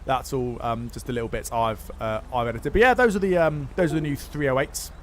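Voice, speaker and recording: A man's voice: medium pitch, brisk, slight background noise. A man chatting casually on a game livestream, answering viewer questions with lots of fillers and restarts. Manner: rambling, many fillers and restarts. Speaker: streamer.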